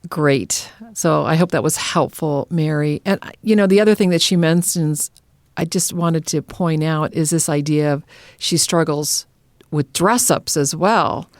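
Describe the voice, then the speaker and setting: Female podcast co-host, slightly low voice, affirming and conversational, recorded dry. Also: measured pace, no room sound. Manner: affirming, interjects short agreements. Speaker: podcast co-host reading listener questions. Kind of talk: conversational hosting.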